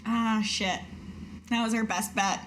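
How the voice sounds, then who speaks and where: Slow-paced woman with a highish voice. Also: fairly even intonation, a bit bright. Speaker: player in a tabletop roleplaying game. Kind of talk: casual in-character improvised chat. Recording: noticeable background noise.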